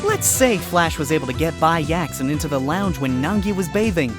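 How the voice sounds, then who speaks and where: A woman's voice: low voice, lively intonation, a little fast, music in the background. A woman voicing a sly, sarcastic male fox defense lawyer arguing in court.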